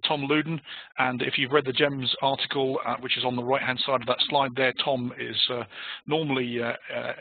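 The sound is narrow and phone-like, with little room around it. A British man speaks at a medium pitch, giving a webinar presentation. His delivery is measured, with dry humour. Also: breathy edge, dry recording. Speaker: presenter, chief operating officer. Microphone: phone or web conference line. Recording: tinny phone-like line.

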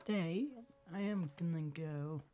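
A woman streaming a game live, hoarse from a cold and often clearing her throat, talking in slow, halting asides to herself. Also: low voice, dark tone, faint music behind. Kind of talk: casual live game commentary.

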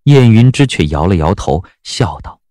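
A man narrating an audio drama in an expressive storytelling manner. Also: low-pitched, moderate pace, no room sound, studio quality.

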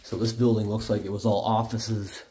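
A man's voice: fairly low pitch, flat delivery, normal speed, dark tone, breathy edge. A man giving casual, off-the-cuff vlog commentary as he explores abandoned buildings. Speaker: urban explorer vlogger. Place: abandoned buildings and outdoors in rain. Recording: noisy on-location camera audio with ambience. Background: rain and ambient noise.

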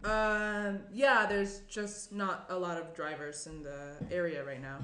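A woman's voice: on the low side, little pitch movement, measured pace, pushed and bright. A young woman playing a tense, guarded, sarcastic morning-after guest in a naturalistic table read. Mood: tense, awkward. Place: small indoor room at a table. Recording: roomy, faint background noise.

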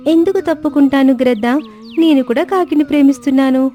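A woman's voice: high voice, brisk, dark tone, lightly acted. A woman voicing a stubborn, possessive lovesick sparrow who protests insistently in a children's cartoon story. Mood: defiant. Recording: music bed under the voice.

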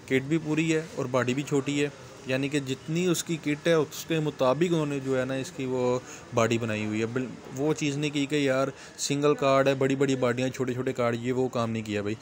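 A man's voice: mid-range voice, slight background noise. A middle-aged man, casually demoing a welding machine at his shop counter and calling viewers "brother". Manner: chatty, repeats "bhaijan" and "theek hai ji". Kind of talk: casual product demo.

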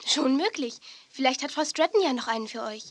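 A woman's voice: high voice, lively intonation, a little fast. A woman playing a caring, sensible young woman, a reassuring friend, in a children's audio drama. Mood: friendly.

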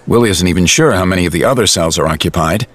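A man's voice: deep voice, quick pace, a bit bright. A man narrating a dramatised audiobook in a wry, colloquial storytelling manner.

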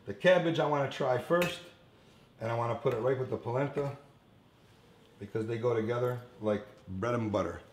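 A man's voice: mid-range voice, normal speed. A man in his fifties hosting a casual cooking demonstration, chatting informally to viewers at home. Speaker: chef hosting a cooking show. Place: commercial-style kitchen, indoors. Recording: echoey room, some background noise, kitchen clatter.

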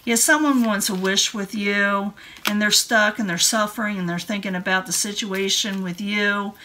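A woman's voice: mid-range voice, fairly even intonation, moderate pace, bright, forceful tone, slight background noise, rough recording. A woman giving a casual tarot card reading in an informal, rambling way. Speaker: tarot reader. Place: indoor room at a table.

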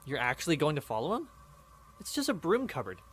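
A doubting, reluctant young sidekick, acted by a man, complains in an exasperated, grudging way. His intonation swings widely, and there is clear noise behind him in this amateur audio drama. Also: fairly high pitch, wildly swooping pitch, quick pace, fairly bright tone. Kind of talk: fan audio drama acting.

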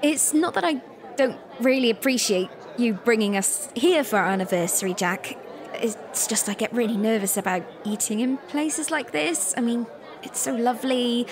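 A woman's voice: a little high, normal speed, lightly acted. An actress playing a playful, teasing young woman in a radio drama. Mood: nervous, playful. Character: teasing, warm-hearted, self-confessed clumsy young woman. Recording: music and crowd chatter behind.

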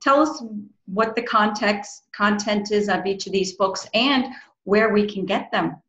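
A woman's voice: medium pitch, a little slow, fairly bright tone. A middle-aged woman asking casual interview questions over a roomy, dull-sounding video call. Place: small room at home, on a video call.

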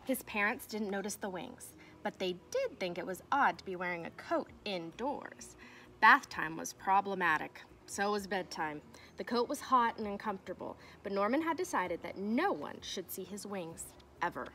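A young woman reading a children's storybook aloud like a teacher, with expressive, emphatic delivery. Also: mid-range voice, moderate pace. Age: young adult. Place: outdoors in a fenced backyard. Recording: noticeable outdoor ambient noise.

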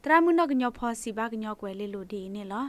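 A woman's voice: fairly high pitch, lively intonation, fast, no echo. A woman reading a scripted news report for radio as a newsreader. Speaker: news reader.

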